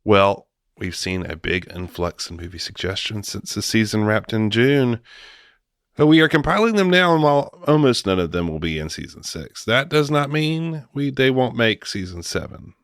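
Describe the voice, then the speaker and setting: A man's voice: fairly low pitch, lively intonation, normal speed, a bit bright, no echo, studio quality. A man hosting a podcast in a casual, chatty style. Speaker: podcast host.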